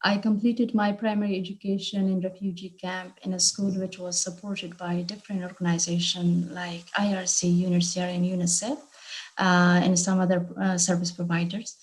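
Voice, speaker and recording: A woman from Afghanistan, a panel guest on a video call, giving personal testimony in a steady, even way. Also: on the low side, moderate pace, rough recording.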